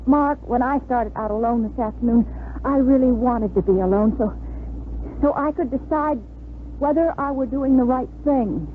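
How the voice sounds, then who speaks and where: An actress playing an earnest, conflicted young bride-to-be in 1950s radio drama style, speaking hesitantly and dramatically. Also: female voice, fairly high pitch, moderate pace, natural voice. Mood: earnest, uneasy. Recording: tinny, noisy old radio broadcast.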